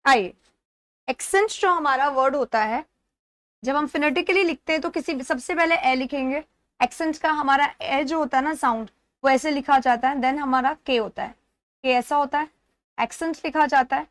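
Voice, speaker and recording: A woman's voice: high voice, moderate pace, fairly bright tone, no room sound. A woman with an Indian accent teaching an exam-prep lesson step by step, repeating key words and checking that students follow. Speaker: English teacher.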